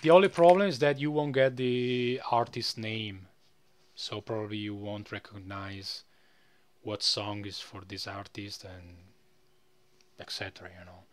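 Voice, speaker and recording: A man presenting a casual, unscripted software tutorial in a rambling way, often tagging phrases with "you know". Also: fairly low pitch, a little slow.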